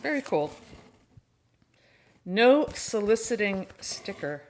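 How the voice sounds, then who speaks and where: A woman with a mid-pitched voice hosts a casual vlog. She talks in a chatty, expressive way, often exclaiming and thinking out loud as if to friends watching. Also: slow pace. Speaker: host of a horror book channel.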